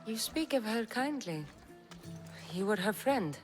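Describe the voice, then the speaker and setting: A woman's voice: a little high, very animated, a little slow, music in the background. An actress playing a tough, defiant young female mercenary in a video game, blunt and coolly confident. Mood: probing. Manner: cool, blunt, dryly confident. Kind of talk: video game cutscene acting.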